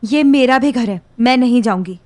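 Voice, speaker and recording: A woman's voice: fairly high pitch, normal speed, a little mellow, natural voice. A woman playing a distressed young daughter in an audio drama, stubborn and defensive. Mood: defiant. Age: young adult. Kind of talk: Hindi audio drama acting.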